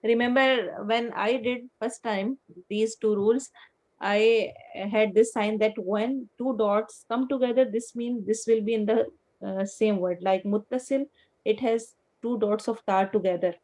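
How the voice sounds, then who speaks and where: A woman's voice: fairly high pitch, steady tone, measured pace, darkish tone, low-quality recording. A woman teaching a class on Quran recitation rules, explaining patiently step by step with memory tips. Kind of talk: online class instruction.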